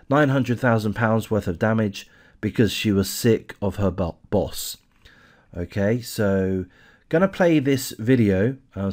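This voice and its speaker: Man, lowish voice, casual true crime commentary, hesitant, blunt. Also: a little mellow. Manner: hesitant, with many fillers and restarts; blunt and opinionated. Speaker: true crime channel host. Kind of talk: casual commentary reading a news article aloud.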